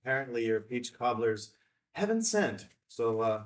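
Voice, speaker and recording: A man's voice: mid-range voice, sing-song, moderate pace, lightly acted. A man playing a casual, probing visitor to a diner in a film table read, with an offhand, slightly hesitant delivery.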